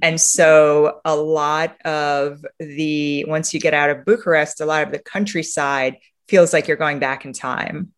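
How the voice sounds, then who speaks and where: Female interview guest from Romania, conversational and reflective, halting delivery with frequent rephrasing. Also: low-pitched, fairly even intonation, smooth. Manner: reflective, halting, often rephrasing mid-sentence. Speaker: interview guest, sustainability leader. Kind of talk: conversational interview answers, telling travel anecdotes.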